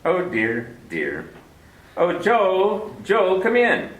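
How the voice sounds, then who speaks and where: A smooth, scheming villain in an old radio play, purring with unhurried, genteel menace. His pitch swings widely as he coaxes and threatens. The old broadcast recording sounds roomy. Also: wildly swooping pitch, fairly bright tone, full character voice. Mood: sinister. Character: smooth, scheming older male villain, fat man. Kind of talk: 1940s radio acting.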